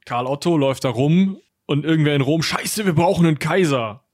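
A man's voice: a little high, fairly quick, a little mellow, no echo, clean studio sound. A man discussing history as a podcast co-host in a casual, colloquial way, with slangy turns and joking asides.

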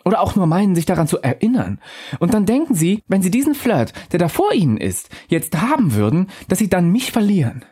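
An elusive female bar ghost, voiced by a male reader, speaking high and soft with earnest insistence, as in a storybook reading. Her tone stays calm and wistful, yet it keeps pressing the listener. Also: fairly quick, slightly rough, full character voice. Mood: urgent.

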